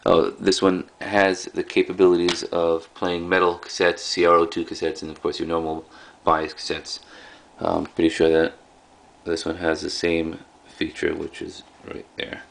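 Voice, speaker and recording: A man casually showing items as a video host, speaking in halting, offhand short phrases. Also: deep voice, monotone. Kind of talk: casual product showcase. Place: indoors in a room at home. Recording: clearly noisy home recording.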